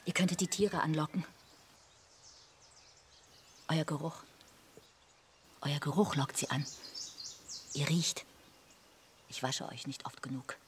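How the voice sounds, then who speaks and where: A woman's voice: sits low, slow pace, pauses often, a little hiss or hum. An actress playing a controlling middle-aged mother who warns her children in firm, emphatic tones in a radio drama. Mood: warning.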